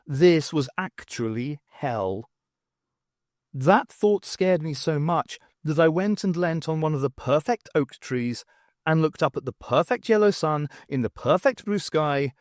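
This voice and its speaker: A man reading a story aloud as an audiobook narrator, in an expressive, scripted storytelling delivery. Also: on the high side, no echo, clean studio sound. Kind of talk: scripted dramatic narration of fiction.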